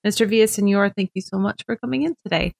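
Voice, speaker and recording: A woman's voice: mid-range voice, fast, creaky, a little mellow. Upbeat woman podcast host. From US West Coast. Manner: friendly, upbeat, scripted intro. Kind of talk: podcast interview.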